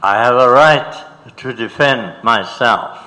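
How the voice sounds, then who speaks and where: Defiant courtroom defendant male actor, deliberate and forceful, big reverberant hall. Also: medium pitch. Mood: defiant, insistent. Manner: insistent, deliberate. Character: defiant male defendant demanding his rights. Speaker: reader in staged documentary. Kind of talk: dramatic reading of court transcript. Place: large auditorium. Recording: reverberant auditorium.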